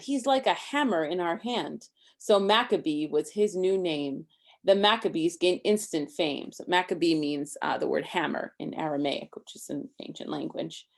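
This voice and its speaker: A woman with a lowish voice reads a children's story aloud. Her delivery is expressive on the rhymes and turns casual and simple when she explains things to a young listener. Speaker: storybook reader.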